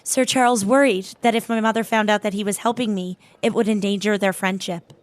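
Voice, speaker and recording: A woman's voice: mid-range voice, steady tone, fairly quick, natural voice. A woman playing a guarded, dignified lady in an audio drama, speaking in a composed, formal and reserved way. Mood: earnest. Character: guarded, curt, dignified divorced working woman.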